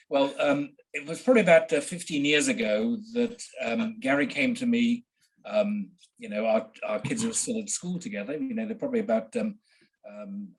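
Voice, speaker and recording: A man, a guest on a podcast, telling his background casually with many pauses and ums. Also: lowish voice, darkish tone, husky, low-quality recording. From Switzerland, raised in central England. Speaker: guest, patent agent. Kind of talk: casual interview talk.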